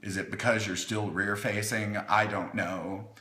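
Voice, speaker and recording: A man's voice: fairly low pitch, steady tone, measured pace, sharp tone. A man hosting a playful storytime, reading a children's book with wry, chatty asides in a theatrical way, as if talking to small children. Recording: echoey room.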